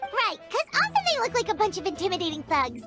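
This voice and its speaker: A woman's voice: very high voice, big pitch swings, fast talker, over music. A woman voicing a small, eager, childlike girl adventurer in a video game, perky and cute. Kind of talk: scripted video game voice acting.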